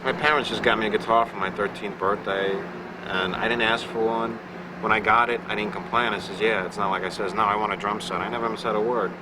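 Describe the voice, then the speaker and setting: A man's voice: fairly low pitch, fairly even intonation, brisk, over music, rough recording. A man, a rock band musician, reminiscing casually in an interview.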